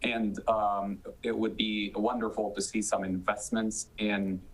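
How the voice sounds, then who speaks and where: A man giving unscripted remarks as a medical panelist, in measured and hesitant phrases. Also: on the low side, little pitch movement, breathy edge, poor audio. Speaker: panelist, chief medical officer.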